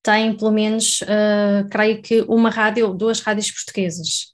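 A woman's voice: medium pitch, little pitch movement, measured pace. Chatty woman interviewer. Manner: chatty, rhetorical tag questions. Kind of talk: casual conversation.

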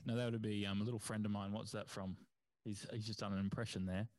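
A man's voice: on the low side, little pitch movement, a little mellow. A man hosting a comedy quiz in a playful, casual way. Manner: playful, quick to laugh. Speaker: quiz host.

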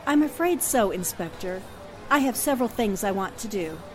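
An actress playing a polite, self-possessed and inquisitive newspaper reporter in a 1940s radio drama style. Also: female voice, medium pitch, lively intonation, normal speed, lightly acted. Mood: quietly determined. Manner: polite, crisply questioning. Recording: music and crowd murmur behind the voice.

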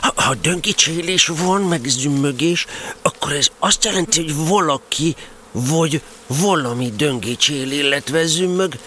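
A man's voice: a little high, sharp tone, slight background noise. A man playing a naive, self-important toy bear in a children's radio play, reasoning ponderously about simple things. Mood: earnest pondering. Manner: ponderous, pseudo-logical reasoning.

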